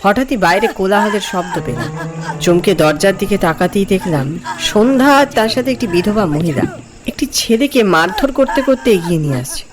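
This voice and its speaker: A man playing an uneasy, curious houseguest who narrates a cartoon horror story with expressive suspense. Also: high voice, normal speed, over music. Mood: uneasy narration. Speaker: first-person narrator.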